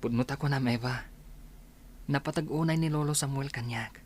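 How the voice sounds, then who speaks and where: A male actor plays a grateful young doctor in an Ilocano radio drama. His voice is middling in pitch and his manner is humble and earnest. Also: moderate pace, a little hiss or hum, lightly acted.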